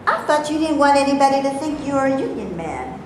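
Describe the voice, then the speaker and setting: A woman playing a weary, sharp-tongued actress with a folksy, emphatic delivery in a staged play reading. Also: sits high, very animated, quick pace. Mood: weary, skeptical. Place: indoor room, live staged reading. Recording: echoey room, hissy amateur video.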